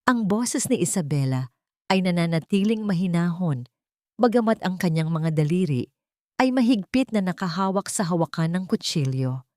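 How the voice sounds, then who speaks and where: A woman with a Filipino accent narrating a scripted dramatic story in a measured, theatrical way. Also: fairly low pitch, animated, warm tone, dry recording, clean studio sound.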